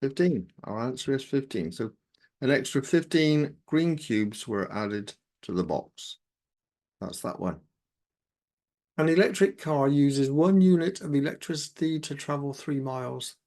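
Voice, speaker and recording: A man with a croaky voice, working through exam maths questions aloud step by step as a tutor. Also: mid-range voice, a little slow. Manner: steady step-by-step, talks himself through sums.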